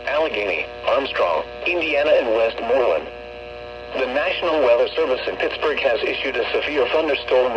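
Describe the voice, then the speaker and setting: Robotic guy reading weather alerts on a muffled radio, clear hum and static behind, formal and clipped. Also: mid-range voice. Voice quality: synthetic text-to-speech voice. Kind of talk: automated scripted weather warning bulletin.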